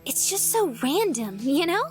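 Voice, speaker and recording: A woman's voice: extremely high pitch, very animated, unhurried, heavily acted. An actress playing a naive, bubbly young woman in a visual novel, chatty, girlish and a little hesitant, with music underneath. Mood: cheerful, playful. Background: game music.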